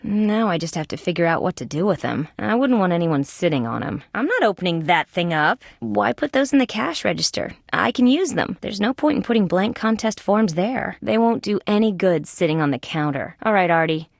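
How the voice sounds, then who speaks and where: A tough female detective from a video game, with a lowish, gravelly voice. She is dry and sardonic, delivering questions and observations matter-of-factly. The sound is close and dry. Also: animated, a little fast, few pauses, dry recording. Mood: questioning. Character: wry, tough female insect police detective. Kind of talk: scripted game dialogue and observations.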